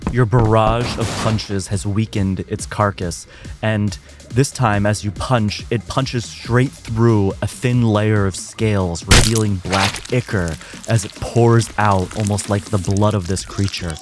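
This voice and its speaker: A man's voice: lowish voice, steady tone, a little slow, over loud music. A man acting as game master, narrating a tabletop horror game with vivid, gory descriptions.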